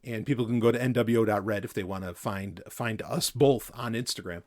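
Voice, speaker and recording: A man's voice: lowish voice, a little fast. A man hosting a podcast from a home setup, talking casually into a mic on an arm, rambling with lots of fillers and restarts. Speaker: podcast host. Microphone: studio mic on an arm.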